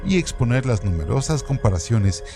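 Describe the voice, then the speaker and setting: Man reading with lively pitch over music. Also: moderate pace. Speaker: co-host reading trivia segment. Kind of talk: scripted reading. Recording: music playing underneath. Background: background music.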